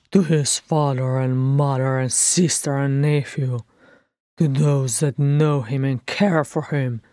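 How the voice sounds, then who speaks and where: Narrator voicing a slow, growling, proud dwarf king. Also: mid-range voice, dark tone, no echo, studio quality, natural voice. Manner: growling, emphatic, drawn-out words. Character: proud wrathful dwarf king, brooding and remorseful. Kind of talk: fan fiction audiobook character acting.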